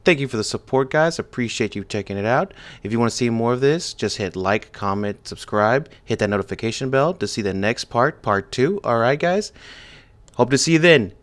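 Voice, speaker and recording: A man's voice: medium pitch, expressive, brisk, fairly bright tone. A man hosting a story session in casual, joking chat, with music under his voice. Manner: joking, profane. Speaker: host.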